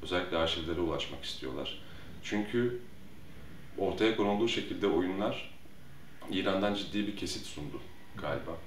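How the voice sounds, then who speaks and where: A man's voice: low voice, long pauses. A man talking in an interview, recorded from a distance. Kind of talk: interview talk. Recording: echoey room, distant mic, faint noise.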